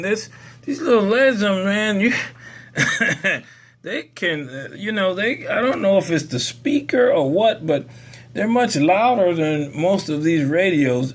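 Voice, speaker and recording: A man with a voice pitched a little higher than average walks viewers through a hands-on tutorial. He speaks casually and in a folksy way, drifting into rambling asides as he explains. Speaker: tutorial video host. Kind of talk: casual spoken how-to demonstration.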